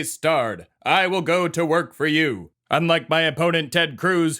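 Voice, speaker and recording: A man's voice: fairly high pitch, bright, forceful tone. A man putting on a pompous, self-important old-money lobbyist character with a quasi-New England accent, hosting a comedy podcast. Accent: put-on quasi-New England. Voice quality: haughty, self-important, mock-aristocratic.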